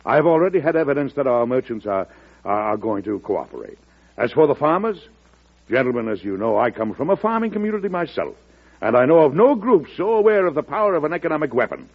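An actor playing a calm, resolute president in an old-time radio drama, measured and statesmanlike. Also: male voice, mid-range voice, husky. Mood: firm conviction. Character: calm, resolute male president addressing his cabinet secretaries. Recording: muffled vintage radio drama recording.

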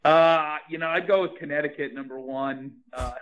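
A man's voice: fairly high pitch, moderate pace, lo-fi sound. A man calling in to a podcast, chatting casually with lots of fillers. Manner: relaxed, many fillers. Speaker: caller, podcast contestant. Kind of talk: casual chat.

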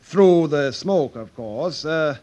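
High-voiced stern fire chief. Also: male voice, animated, takes it slowly, a little mellow. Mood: probing, stern. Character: stern, troubled male fire chief questioning his subordinate. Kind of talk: radio drama acting.

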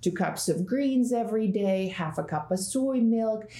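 A woman's voice: mid-range voice, a little slow, reverberant. A woman in her forties, born and raised in Russia, telling self-mocking stories in casual conversation. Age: 40s. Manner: chatty, self-mocking, lively anecdotes. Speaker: podcast guest, Enneagram teacher.

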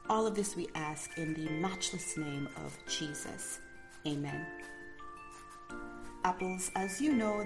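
Low-voiced woman hosting a faith podcast. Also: a little mellow. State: early-morning voice, a bit calmer than usual. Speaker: podcast host.

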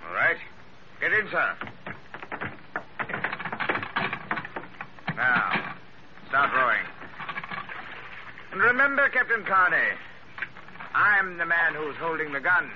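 Villainous ship's captain, cold menace, big pitch swings, clipped commands, muffled hissy old radio drama. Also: male voice, big pitch swings, measured pace, long pauses. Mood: threatening. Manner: threatening, clipped orders, mocking politeness. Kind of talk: old-time radio adventure acting.

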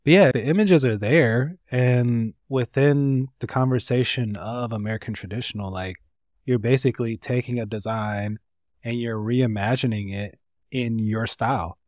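A man from North Carolina, a guest artist, talking in a relaxed, rambling way with lots of fillers. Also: medium pitch, moderate pace, no room sound, clean studio sound. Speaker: interview guest, artist and tattooist. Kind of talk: casual conversation.

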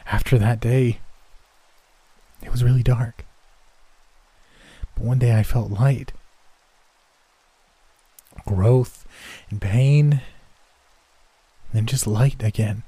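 A man voicing a sad, gentle young boy in a horror story, speaking haltingly. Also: mid-range voice, steady tone, husky, no room sound. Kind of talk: horror story narration, character voice.

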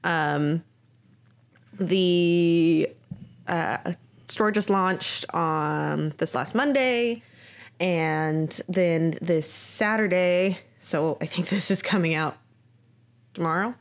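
A woman's voice: on the low side, slow pace, pauses often. A young adult woman co-hosting a podcast in casual chat, upbeat and teasing, laughing easily. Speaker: podcast co-host.

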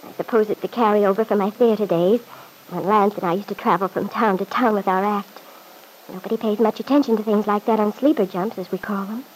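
Woman acting young dramatic heroine, suspicious, hesitant, medium pitch, old-time radio drama, slight noise. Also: steady tone, brisk, lightly acted. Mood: flustered apology. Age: young adult. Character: emotional, suspicious, self-absorbed young woman, former touring performer. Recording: faintly noisy old radio recording.

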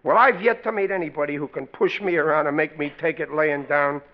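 A man's voice: on the high side, steady tone, a little fast, natural voice. An actor playing a blustering, stubborn middle-aged American father in a 1940s radio sitcom, with emphatic, uneducated diction. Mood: indignant, stubborn. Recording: dull, noisy old radio recording.

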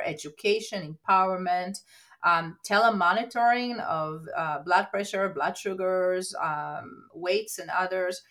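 A woman, a nurse practitioner answering interview questions eagerly, often pausing on um and uh. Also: medium pitch, fairly bright tone. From Israel. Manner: eager, fills pauses with um and uh.

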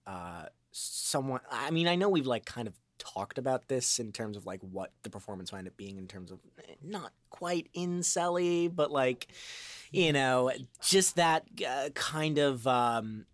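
A man chatting as an interview guest, expressive and thinking aloud in winding explanations about his craft. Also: medium pitch, a little slow, no echo. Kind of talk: animated conversational interview about acting.

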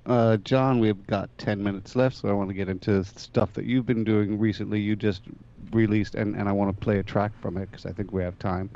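Male radio host, low mellow voice, short guiding questions, dull muffled sound. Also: fairly even intonation, a little fast, few pauses. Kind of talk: radio interview hosting. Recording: dull lo-fi line with faint noise.

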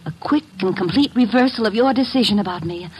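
An actress in her twenties playing a hot-tempered young woman in 1940s radio drama style, cold and clipped with a husky voice. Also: medium pitch, fast talker, a little hiss or hum, lightly acted, poor audio. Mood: menacing. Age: 20s.

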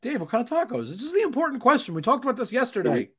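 A man's voice: very high voice, huge pitch swings, very fast, a loud crowd. A man hosting a sports talk show, chatty and steering the conversation between co-hosts. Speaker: podcast host.

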